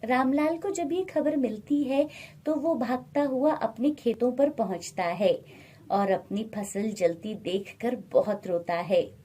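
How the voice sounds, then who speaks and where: Slow, husky woman storyteller. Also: fairly high pitch, warm tone. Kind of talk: scripted narration of a moral bedtime story.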